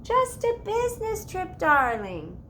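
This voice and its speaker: A woman's voice: very high-pitched, huge pitch swings, slow pace, background noise, voice pushed up, heavily acted. A woman voicing an eccentric, grand and mysterious great-aunt, theatrical and coy, in a storybook reading. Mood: airily evasive.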